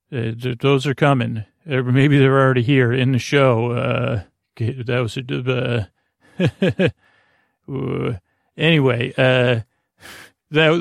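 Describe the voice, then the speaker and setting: Creaky-voiced man rambling gently at bedtime. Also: medium pitch, no room sound, studio quality. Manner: meandering, hesitant, filler-heavy with tangents; gentle, soothing, quietly whimsical. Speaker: host of a sleep podcast.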